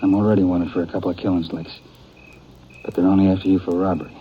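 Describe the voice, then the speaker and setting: An actor playing a cold, calculating outlaw in a 1950s radio western, hushed and terse, with an American accent. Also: male voice, sits low, fast, close to an everyday voice. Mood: cold, menacing. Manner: hushed, terse, flatly menacing. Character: cold, calculating outlaw killer, a younger brother. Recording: muffled, noisy 1950s radio recording.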